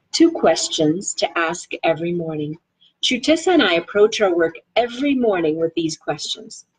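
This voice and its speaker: Woman, husky edge, very animated pitch, enthusiastic read-aloud, chatty conversational asides. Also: rough recording. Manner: enthusiastic, expressive. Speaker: reader of a self-help book read-along.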